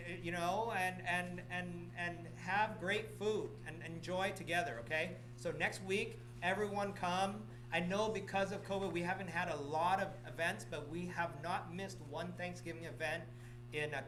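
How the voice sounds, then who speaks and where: A man's voice: high-pitched, background noise. Middle-aged man coaxing staff, "okay?". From Vietnam. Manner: repetitive, coaxing, checks in with "okay?" often. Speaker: real estate office manager or broker. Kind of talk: office meeting announcements. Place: office meeting room.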